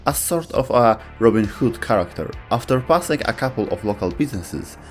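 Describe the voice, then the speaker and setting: A man's voice: medium pitch, expressive, fairly quick, faint music behind. A man reading scripted narration as the narrator of an explainer video.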